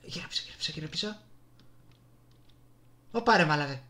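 A man's voice: fairly high pitch, animated, sharp tone. A man with a Greek accent giving casual, slangy gameplay commentary with reactive exclamations. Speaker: gamer. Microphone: desktop USB microphone. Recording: noisy desktop mic with keyboard clicks. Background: keyboard and mouse clicking.

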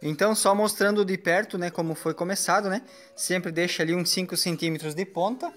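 A man's voice: sits high, fairly quick, fairly bright tone. Man explaining a job in an echoey workshop. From Brazil. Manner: plain explanatory, frequent tag questions, working while talking. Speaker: window installer. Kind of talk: hands-on workshop demonstration. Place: large workshop indoors. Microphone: phone camera nearby. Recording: echoey workshop with background music.

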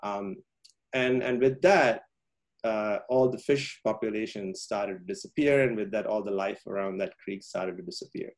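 A man's voice: fairly low pitch, moderate pace. A man from Sri Lanka giving a public science talk over a video call, with many ums and uhs and frequent restarts.

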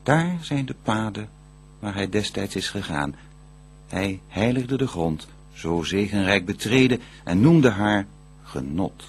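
Grave, low-voiced male archangel. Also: animated, slightly rough, exaggerated voice. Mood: awed, solemn. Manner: solemn, deliberate, declamatory. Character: grave, admiring archangel surveying Eden. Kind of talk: declaimed verse radio play.

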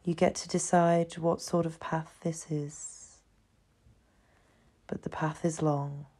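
Slow, low-voiced woman guiding meditation. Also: level tone. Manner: soothing, hushed, unhurried with long pauses. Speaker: meditation guide. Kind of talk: guided meditation, slow scripted narration.

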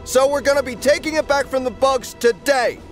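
A man playing a proud, dutiful military captain with crisp, disciplined delivery in a video game. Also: very high-pitched, moderate pace, faint music behind. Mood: rallying. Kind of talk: video game character acting.

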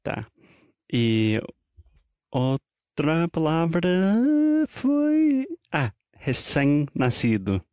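Guy co-hosting a portuguese podcast, slow and steady, keeps asking questions, dry mic. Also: on the high side, smooth, no room sound. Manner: asks questions and checks understanding. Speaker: podcast co-host. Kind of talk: casual language-teaching chat.

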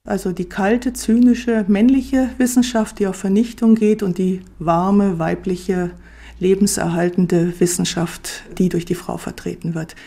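A woman's voice: medium pitch, fairly even intonation, unhurried, vocal fry, mellow. A woman historian explaining in an interview, with analytical, emphatic phrasing.

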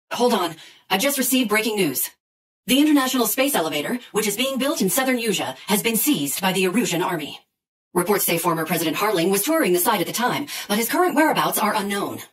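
A woman acting a breaking-news anchor in a game. She reads fast and formally with lively, urgent intonation, sounding a little distant from the mic. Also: medium pitch, lightly acted.

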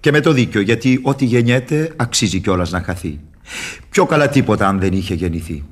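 A sly devil of classic radio theatre, low and husky. He swoops theatrically through his verse, mocking and wheedling with false humility. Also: expressive. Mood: proud, mocking.

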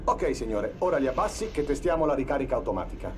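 A male actor playing a brisk, polite, harried military cryo technician in a video game, speaking in clipped procedural phrases. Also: medium pitch, lively intonation, a little mellow, a little hiss or hum, close to an everyday voice. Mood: brisk, courteous.

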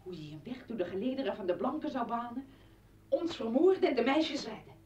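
Actress as scornful, highly animated ex-wife. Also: female voice, brisk, a little hiss or hum, natural voice. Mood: scornful, sarcastic. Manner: sarcastic, accusing. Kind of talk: Dutch radio play acting. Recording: dull, distant old radio recording.

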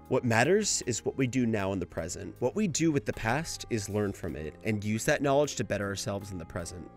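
A man's voice: lowish voice, darkish tone. A young adult man narrating a scripted video essay in a casual style. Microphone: home microphone, voice-over.

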